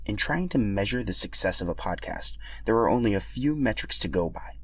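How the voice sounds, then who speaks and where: A man's voice: on the low side, lively intonation, brisk, fairly bright tone, breathy edge, dry recording. A man hosting a podcast and reading scripted narration as a storyteller.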